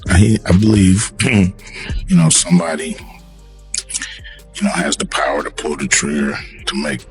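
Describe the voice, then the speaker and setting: Halting man with a very low voice. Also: faint music behind. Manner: halting, hesitant. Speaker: interviewee. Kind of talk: spontaneous interview answer.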